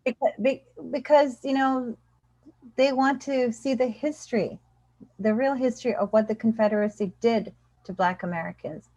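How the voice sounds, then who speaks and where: A woman's voice: a little high, moderate pace, dark tone, dry recording. A woman professor, a guest on an interview over a video call, explaining her views earnestly and often saying "you know". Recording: dry, dull video-call audio.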